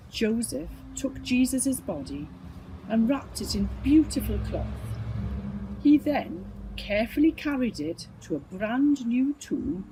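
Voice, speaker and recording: A woman's voice: fairly high pitch, dark tone, slight background noise, poor audio. A woman reading a children's Bible story from a script. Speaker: storybook reader.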